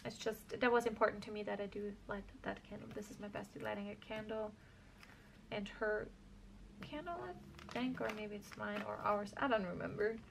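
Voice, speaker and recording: A woman's voice: mid-range voice, fairly even intonation, moderate pace, vocal fry, background noise. A young woman from Austria casually talking through her scrapbook album, rambling and correcting herself as she goes. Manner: rambling, self-correcting, chatty. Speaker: craft vlogger.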